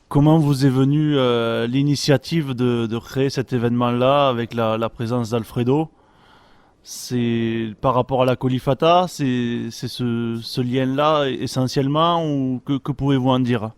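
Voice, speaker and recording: A man's voice: mid-range voice, darkish tone, smooth, no echo. A man taking part in a radio discussion, introducing himself and asking questions in a hesitant, rephrasing way. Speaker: participant from an association.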